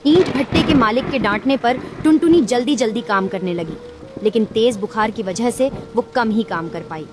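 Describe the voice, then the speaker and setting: A woman narrating a children's cartoon story in a steady, even voice. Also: on the high side, normal speed. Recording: narration over loud background music.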